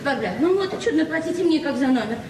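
A woman's voice: sits high, expressive, fast talker. An actress in a radio play as a naive, talkative librarian in her forties, speaking hesitantly and repeating herself. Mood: eager, friendly. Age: 40s. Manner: flustered, hesitant, chatty, repeating words. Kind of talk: naturalistic Soviet radio drama acting. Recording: echoey, distant, noisy 1970s radio recording.

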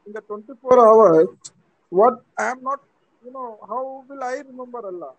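A man from the audience asking halting, hesitant questions about prayer. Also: very high voice, muffled recording.

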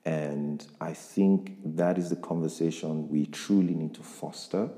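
A man's voice: very deep voice, steady tone, unhurried, dark tone. A man interviewing a guest on a podcast, speaking reflectively and motivationally, with frequent restarts. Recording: echoey room with long reverb.

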